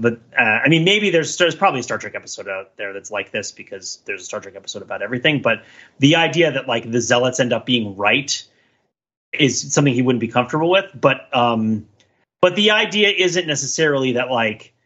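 A man's voice: mid-range voice, pushed and bright, highs cut off. A man co-hosting a podcast, talking through ideas in a casual, discursive, intellectual way. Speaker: podcast co-host. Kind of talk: casual analytical discussion of a TV show.

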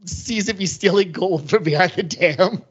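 A man's voice: high-pitched, normal speed, slightly rough, highs cut off. A man co-hosting a podcast, casually picking things apart in an analytical, wry, rambling way. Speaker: podcast co-host. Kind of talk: casual film discussion.

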